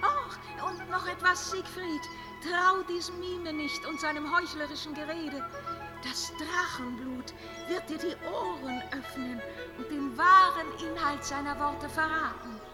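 A woman's voice: high-pitched, unhurried, over music. A woman voicing a talking forest bird in a fantasy radio play, a playful, knowing counselor.

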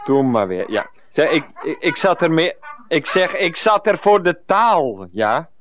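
A man's voice: fairly high pitch, big pitch swings, a little slow, a bit bright, soft background music. Hesitant, earnest middle-aged Dutch man. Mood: defensive, flustered. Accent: Netherlands Dutch. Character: Freek, pressured 39-year-old ex-teacher, earnest, henpecked by mother. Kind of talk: radio comedy drama acting.

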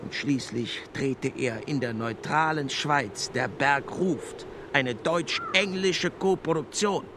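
Male actor as scornful Nazi minister: cutting, threatening, declamatory, mid pitch, outdoor ambience clearly behind. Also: takes it slowly, noisy background, lightly acted. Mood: indignant. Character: menacing, scornful Nazi propaganda minister dictating his verdict. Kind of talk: German radio play acting.

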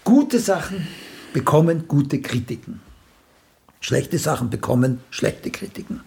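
Vain old playwright, very gravelly rasp, swooping intonation, sardonic, blunt, radio drama. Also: male voice, on the high side, animated, measured pace, a little mellow, exaggerated voice. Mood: scornful. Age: older. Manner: opinionated, sardonic, tags questions with "gell". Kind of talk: German radio play acting.

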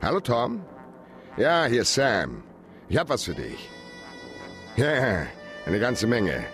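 Radio noir: hard-boiled male private eye, cynical and blunt, widely varying pitch, music underneath. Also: wildly swooping pitch, slow pace, fairly bright tone, full character voice. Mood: cool, businesslike. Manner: blunt, slangy, cool. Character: tough, cynical, insolent male private detective. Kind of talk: German radio crime drama acting.

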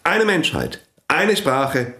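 An actor in a German radio play playing a fervent, imperious priest and inventor, grandiose and preachy in manner. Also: male voice, a little high, sing-song, slow, bright, forceful tone, echoey room, exaggerated voice.

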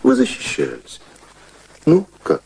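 An actor in a radio drama playing a wry, practical, devoted gentleman, ironic and urgent, with expressive delivery. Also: slow pace, lightly acted, rough recording. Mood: urgent, scheming. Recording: faint background noise.

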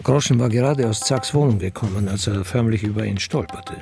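An actor playing a composed narrator of a crime story, reading scripted narration in a radio drama. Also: male voice, lowish voice, a little fast, gravelly, darkish tone. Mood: measured, observant. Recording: music behind the voice.

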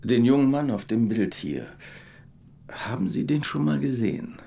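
Radio drama: businesslike policeman, clipped questions, husky and gravelly. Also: medium pitch, expressive, normal speed, mellow. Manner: terse, questioning. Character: brisk, businesslike police investigator. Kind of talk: radio play acting.

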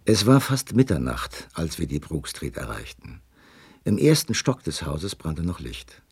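An actor playing a detective's sympathetic companion and narrator in a radio play, with a husky, expressive voice. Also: male voice, a bass voice, measured pace, exaggerated voice. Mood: narrating, suspenseful. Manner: storytelling, expressive.

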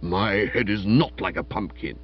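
A man's voice: measured pace, husky, lo-fi sound, soft background music. A man voicing a pompous, jealous young man with a resonant, stiff and indignant delivery in a comic audiobook. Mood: outraged. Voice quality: deep, resonant. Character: pompous, jealous, blustering young man, deep booming suitor. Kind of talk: comic audiobook character acting.

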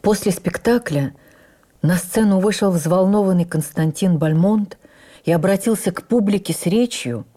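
A woman playing an actress who recalls her life in the theatre, narrating in the first person for radio drama in an expressive, measured, literary way. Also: medium pitch, close to an everyday voice. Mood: earnest, reflective. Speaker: actress reading a stage memoir. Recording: radio broadcast with faint music.

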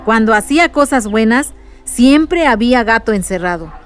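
Actress as patient storyteller, slightly high voice. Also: female voice, lively intonation, moderate pace, clean tone, faint music behind, lightly acted. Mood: explaining. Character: patient woman storyteller explaining village history. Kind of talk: radio drama storytelling.

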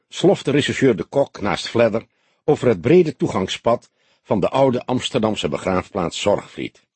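Very husky male audiobook narrator. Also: medium pitch, a little mellow, dry recording. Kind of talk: expressive scripted literary narration.

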